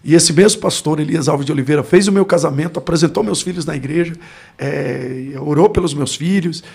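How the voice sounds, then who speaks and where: Gravelly Brazilian man in his forties. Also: on the high side, a little fast, a little mellow. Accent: Brazilian Portuguese. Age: 40s. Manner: reflective, searching for words, tags lines with "né?". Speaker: pastor and guest. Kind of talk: personal life story in a podcast interview.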